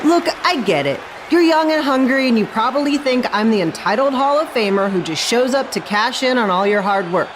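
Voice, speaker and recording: A woman's voice: a little high, lively intonation, fairly quick, few pauses, a crowd in the background, noisy background, natural voice. A woman playing a friendly, gracious veteran wrestling star in a video game cutscene. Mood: firm, conciliatory.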